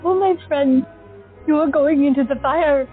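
An earnest female patient who has visions pleads about a fate she is sure of, as a woman plays her. Her voice is high. The sound is thin and tinny, with soft music behind it. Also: measured pace. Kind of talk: audio drama acting.

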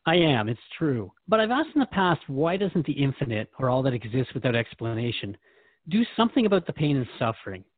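A male podcast host talks in a reflective, questioning manner. His medium-pitched voice moves around expressively, and the sound is dry and close, as in an earnest one-to-one interview. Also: very animated, a little fast, dry recording, phone-quality sound.

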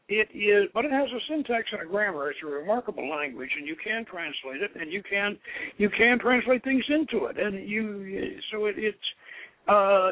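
Phone-line male radio host, halting and scholarly. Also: high voice. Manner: halting, rambling, scholarly storyteller. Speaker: host of an occult radio show. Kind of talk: informal explanatory talk. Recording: narrow, tinny phone-line radio sound.

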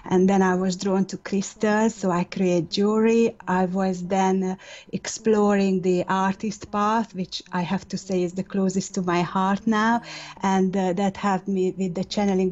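A middle-aged woman from Hungary with a Hungarian accent, a guest on a radio interview, speaking thoughtfully with frequent pauses. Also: lowish voice, warm tone, dull audio.

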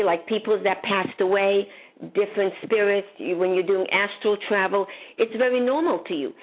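A woman from Hungary, a psychic guest, talking in a rambling, chatty way and laughing often. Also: mid-range voice. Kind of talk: casual interview chat. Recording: phone-line audio.

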